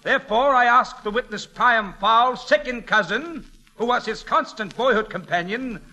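An actor in an old-time radio drama playing a pompous courtroom barrister, formal and declamatory. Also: male voice, very high voice, moderate pace, bright, forceful tone, heavily acted.

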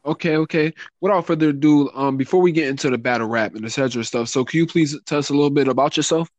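Guy interviewing on a podcast, talks real fast, casual, dry sound no room. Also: a little high, no echo. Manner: informal, repeats stock phrases.

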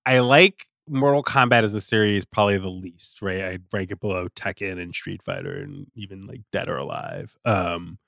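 Low-voiced casual man, dry sound. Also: moderate pace, vocal fry, hardly any pauses, fairly bright tone, dry recording. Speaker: podcast guest. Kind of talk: casual opinionated discussion.

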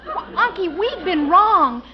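An actress playing a sweet, pleading young wife in a 1940s radio comedy. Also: female voice, very high voice, very animated, slow, heavily acted. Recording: dull vintage radio broadcast.

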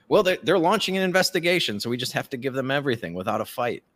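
A man's voice: medium pitch, big pitch swings, fast, a bit bright. A man giving casual, profane political commentary as a podcast host, ranting and mockingly acting out what others say.

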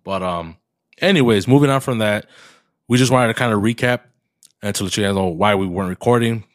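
Congested low-voiced man, bilingual podcast banter. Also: fairly quick, no room sound, studio quality. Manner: mixes English with Spanish, swears often. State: congested, coughing. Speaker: podcast co-host. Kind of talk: casual podcast chat.

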